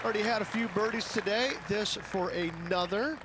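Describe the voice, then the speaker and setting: A man's voice: high voice, moderate pace, crowd noise, background noise, lightly acted. A man playing a folksy, upbeat golf broadcast partner in a video game, commenting in short phrases. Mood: observant.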